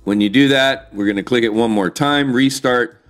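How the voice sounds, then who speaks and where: A middle-aged man hosting a tech tutorial, walking through steps in a clear, upbeat, chatty way, recorded in a living room. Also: mid-range voice, normal speed, a bit bright, faint music behind. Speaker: tech video host. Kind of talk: step-by-step tutorial narration.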